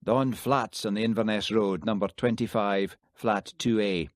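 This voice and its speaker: A man's voice: on the low side, fairly even intonation, a little slow, no echo, studio quality, close to an everyday voice. A man doing a blunt detective. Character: brisk Scottish police detective, blunt and bossy.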